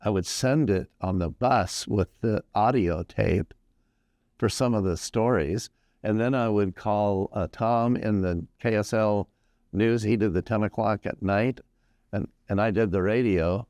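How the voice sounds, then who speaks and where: A man in his sixties hosting a casual morning radio interview, easygoing and quick to laugh. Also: fairly low pitch, a little mellow, dry recording, clean studio sound. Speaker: radio host.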